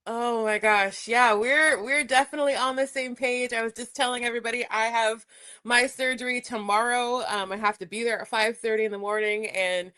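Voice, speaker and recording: A woman's voice: a little high, steady tone, a little fast, pushed and bright. A woman hosting her own podcast, chatting and laughing with a guest over a video call. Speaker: podcast host. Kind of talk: casual interview chat.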